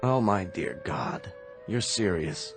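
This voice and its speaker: Grave, low-voiced American doctor. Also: male voice, animated, soft background music. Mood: troubled, hesitant. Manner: measured, somber, terse. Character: grave, protective doctor, reluctant brother of the patient. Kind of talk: radio drama acting.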